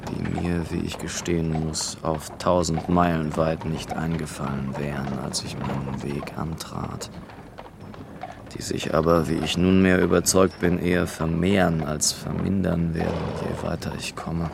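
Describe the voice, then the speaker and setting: An actor playing a restless, wordy gentleman writer on his travels in a literary radio play. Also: a bass voice, level tone, a little slow, gravelly, background noise, full character voice. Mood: deliberate, wry.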